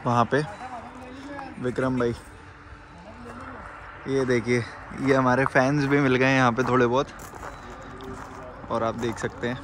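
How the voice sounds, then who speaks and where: An upbeat young man talks casually to his handheld camera and scatters fillers through his lines. His voice sits at an ordinary mid pitch. A clear hum of road and outdoor noise stays underneath. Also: flat delivery, unhurried, long pauses. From India. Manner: chatty, upbeat, leans on fillers like "matlab" and "yaar". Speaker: vlogger. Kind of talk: casual travel vlog chat. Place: inside a car on the highway and at an outdoor roadside eatery.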